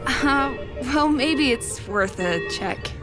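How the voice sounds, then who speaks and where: A woman's voice: high voice, expressive, takes it slowly, over loud music. An actress playing a breezy, teasing young female student in an audio drama, casual in manner. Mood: puzzled, dismissive. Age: young adult.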